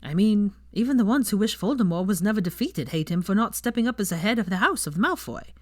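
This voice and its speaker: Brash young witch played by a female narrator, swooping lively pitch, emphatic, exasperated, theatrical storybook dialogue, close dry sound. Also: fast talker, no echo, lightly acted. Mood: smart, dry. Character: brash, angry young pure-blood witch, foul-mouthed and theatrical. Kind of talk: acted audiobook dialogue.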